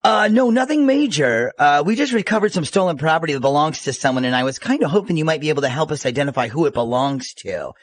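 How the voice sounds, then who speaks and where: A man's voice: on the high side, animated, quick pace, few pauses, no room sound, pitched below the performer's own voice. A man playing a polite, businesslike, reassuring police detective on a prank phone call.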